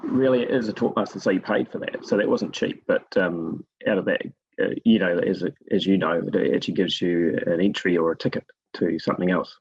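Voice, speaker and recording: A man from New Zealand with a gravelly, husky voice, telling his story conversationally as an interview guest. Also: sits low, brisk, dry recording, lo-fi sound. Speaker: guest expert in forest monitoring.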